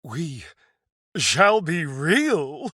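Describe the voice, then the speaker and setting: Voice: mid-range voice, big pitch swings, very slow, sharp tone, husky, dry recording, studio quality, full character voice. A performer voicing a dreamy, sentimental, effusive character in a video game, with theatrical delivery. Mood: overjoyed, effusive.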